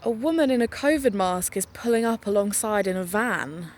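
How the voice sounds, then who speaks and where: A woman's voice: mid-range voice, lively intonation, normal speed, slight background noise, natural voice. A woman playing a chatty, teasing young podcaster who investigates crimes in an audio drama. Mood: uneasy.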